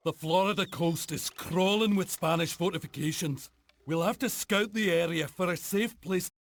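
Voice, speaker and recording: Blunt, determined knight captain. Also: male voice, high voice, normal speed, creaky, no echo. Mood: grim. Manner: terse, resolute. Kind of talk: video game cutscene acting.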